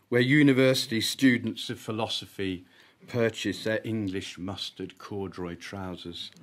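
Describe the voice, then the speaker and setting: A man, an author reading his own short story at a live event, with deliberate, emphatic phrasing and comic timing. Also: low-pitched. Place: live literary event at an arts venue.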